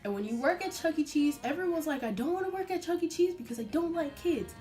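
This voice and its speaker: A young adult American woman telling a personal story casually to her viewers in an informal, animated way. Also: high voice, fast talker, soft background music. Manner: chatty, informal, animated retelling with acted-out quotes. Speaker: vlogger.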